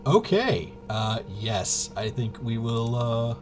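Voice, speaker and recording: A man thinking aloud through solo tabletop game commentary, casual and halting, often muttering to himself as he works things out. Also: medium pitch, sing-song, music in the background. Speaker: tabletop RPG player and presenter.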